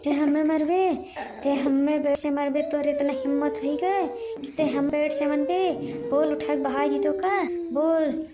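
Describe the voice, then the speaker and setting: A woman's voice: sits high, fairly even intonation, measured pace, a crowd in the background. A woman voicing a bossy, vengeful village woman in a cartoon, hectoring with demanding questions in an Awadhi accent. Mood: taunting, angry.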